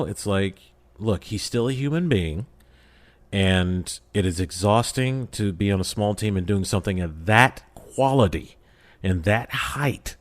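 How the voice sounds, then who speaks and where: A man speaking as a voice actor guest on a podcast, casual and conversational, earnest and emphatic in how he makes his points. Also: sits low. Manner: emphatic, earnest, acts out imagined quotes. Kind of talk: casual interview chat.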